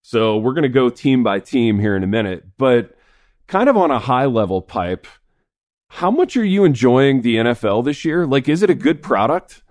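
A man hosting a sports podcast in a studio, his voice raspy this morning, chatting in a laid-back, chummy way. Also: medium pitch, animated, normal speed, darkish tone, dry recording. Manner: laid-back, chummy, says dude a lot. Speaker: podcast host. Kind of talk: casual sports chat.

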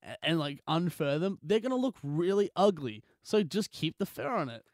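A man's voice: on the high side, animated, normal speed, a little mellow, no room sound, studio quality. A young man co-hosting a podcast in casual banter, joking and exaggerating in slangy, "like"-peppered talk. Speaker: podcast co-host.

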